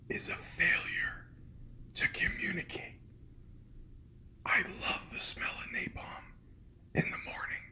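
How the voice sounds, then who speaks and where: A host whispering a comedic parody of ASMR in a sarcastic, irreverent way, swearing freely. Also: pushed and bright. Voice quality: whispered throughout.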